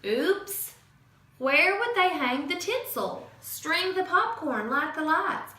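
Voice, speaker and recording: A woman teacher reading a picture book aloud to children in an expressive, sing-song storytelling manner. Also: very high-pitched, takes it slowly. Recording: echoey room from far off.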